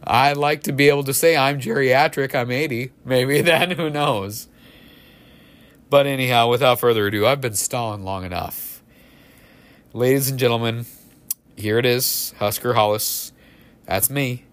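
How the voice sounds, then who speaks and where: A man's voice: mid-range voice, measured pace, pauses often, a bit bright. A forty-year-old man hosting a podcast, talking to his listeners in a casual, cheerful way. He pokes fun at himself. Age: 40s. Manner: self-deprecating, cheerful.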